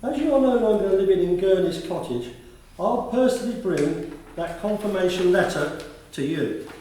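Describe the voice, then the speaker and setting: An actor playing a kindly, talkative school attendance officer in a radio play, with polite and formal manners. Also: male voice, high-pitched, animated, moderate pace, warm tone. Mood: friendly. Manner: courteous, formal address, talkative. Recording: very echoey room, distant from the mic.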